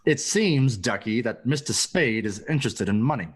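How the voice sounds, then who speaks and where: Man playing a mid-pitched, florid, polite henchman. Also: lively intonation, moderate pace, breathy edge. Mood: obsequious. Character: smooth-talking, obsequious male criminal henchman. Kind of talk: noir radio play acting.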